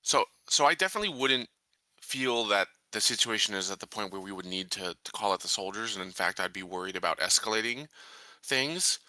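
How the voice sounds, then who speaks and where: A man's voice: fairly low pitch, expressive, a little fast, pushed and bright, no echo. A man playing a tabletop game, talking things through casually in a cautious, questioning way. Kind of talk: casual tabletop roleplay discussion.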